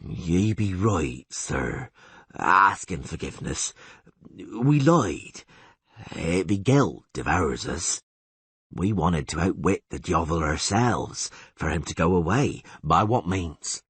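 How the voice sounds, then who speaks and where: Audiobook bit part: humble male peasant done by a male narrator, slow, halting, apologetic, rustic speech, dry sound. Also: low-pitched, lively intonation, slightly rough, no echo, full character voice. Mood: sheepish. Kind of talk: audiobook character acting.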